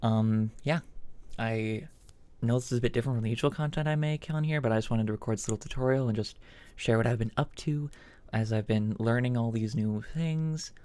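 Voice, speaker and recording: A man with a mid-range voice hosts a casual software tutorial. He talks informally and haltingly, thinking aloud as he works through the steps. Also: mid-range voice, smooth, no room sound. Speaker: tutorial host.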